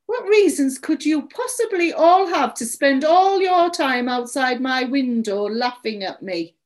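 A woman voicing a distraught, indignant story character, shrill, accusatory and pleading over her lost dog. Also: very animated, unhurried. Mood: angry, accusatory.

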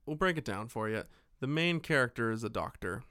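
A man's voice: medium pitch, animated, fairly quick, sharp tone, smooth, no room sound, studio quality. A man co-hosting a podcast, giving a casual film recap in an irreverent, mocking way. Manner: irreverent, mocking, mimics characters' lines. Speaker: podcast co-host. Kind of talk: casual film recap chat with acted-out dialogue.

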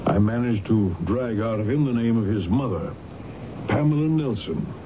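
An American actor playing an earnest, courteous, persistent lawyer and husband in a radio drama, speaking in a polite, measured, probing manner. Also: male voice, fairly low pitch, expressive, lightly acted. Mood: eager. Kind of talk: old-time radio drama acting. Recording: noisy, narrow-band old radio recording.

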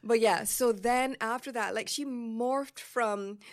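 A woman's voice: a little high, slow pace. A young woman with a Bajan accent, co-hosting a casual chat and telling a story with lots of laughter. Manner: animated, laughing, acts out quotes. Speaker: podcast co-host.

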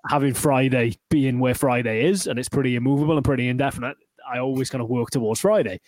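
A man's voice: medium pitch, fast talker, darkish tone, dry recording. A Welsh man talking casually as a podcast guest, rambling with lots of fillers and restarts. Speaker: guitarist and YouTuber, guest.

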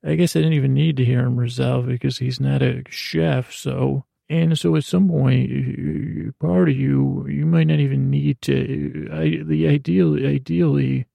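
A man's voice: mid-range voice, fairly even intonation, measured pace, hardly any pauses, dark tone, no echo. A man hosting a bedtime podcast, rambling in a meandering, stammering way that trails off and changes subject. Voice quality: creaky, gravelly. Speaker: podcast host. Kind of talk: rambling bedtime monologue to help listeners sleep.

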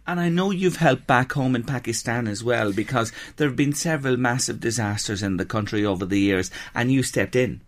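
A man hosting a radio interview in the studio, prompting his guest with gentle questions. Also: mid-range voice, brisk, few pauses. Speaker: radio presenter.

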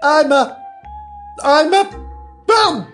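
A high, lively little girl's voice, put on by a narrator for a storybook. She sounds earnest, polite and puzzled, with a plaintive, emphatic edge of indignation. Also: measured pace, soft background music, full character voice. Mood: flustered, doubtful. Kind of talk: storybook character voice.

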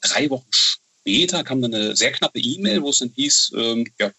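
A man's voice: medium pitch, lively intonation, normal speed, pushed and bright, breathy edge. A man in his thirties chatting casually as an interview guest, often tagging his phrases with a questioning filler. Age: 30s. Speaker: horror novel author, interview guest.

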